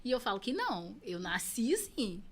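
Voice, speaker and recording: A woman's voice: a little high, big pitch swings, normal speed, slight background noise. A Brazilian woman in her thirties, a podcast guest telling her own story in an earnest, reflective way. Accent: Brazilian Portuguese. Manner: earnest, reflective, repeats phrases for emphasis. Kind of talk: personal storytelling in an interview.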